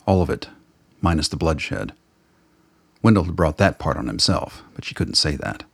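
Very deep husky male narrator. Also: very animated, normal speed, no echo. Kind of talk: scripted audio drama narration.

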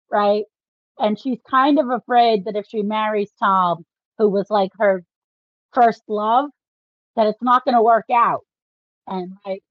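Woman podcaster explaining books like a big fan, highish voice, slightly dull dry recording. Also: no room sound. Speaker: podcast co-host. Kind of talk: casual book discussion.

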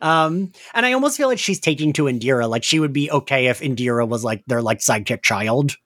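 A man talks as a podcast host in a casual, chatty recap style. His pitch moves around in an animated way, and his phrases are padded with "like." The sound is dry and close. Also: fairly quick, no echo. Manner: animated, chatty, filler-heavy with frequent "like".

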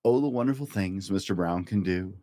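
A man reading a rhyming children's picture book aloud in a playful, expressive way, as a storytime host. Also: sits low, fairly quick, dry recording, clean studio sound. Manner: playful, acts out animal and object sound effects.

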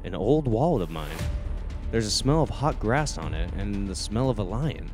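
A man's voice: on the low side, very animated, moderate pace, music in the background. A man playing a dismissive, firm husband and father in a radio play. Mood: troubled.

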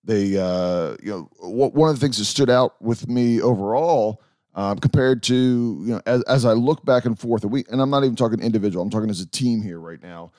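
A man hosting a casual sports podcast, a bit hoarse, talking in a rambling, halting way. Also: lowish voice, a little mellow, dry recording, studio quality. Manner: rambling, halting, with many restarts and fillers. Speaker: podcast host.

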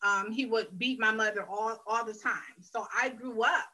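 A woman tells her own story on a faith interview show. Her voice is fairly high and bright, and she speaks earnestly and candidly, often circling back to restart a phrase. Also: normal speed, low-quality recording. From Detroit, Michigan, USA. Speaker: guest sharing her life story. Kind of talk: personal testimony in a faith interview.

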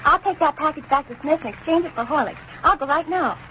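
A woman playing a chatty, thrifty American housewife with a brisk, rambling manner in a 1930s radio advertisement. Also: high voice, sharp tone. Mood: apologetic. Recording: muffled, hissy 1930s radio recording.

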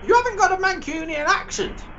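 A man hosting a crude comedic chat, rambling and stammering with sudden shouty outbursts. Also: very high-pitched, lively intonation, fairly quick. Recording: slightly muffled with faint noise.

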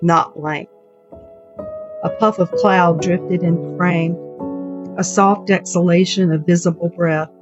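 A woman's voice: lowish voice, fairly even intonation, slow, pauses often. A woman writer reading her own literary short story as a narrator. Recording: dull, dry recording with music bed.

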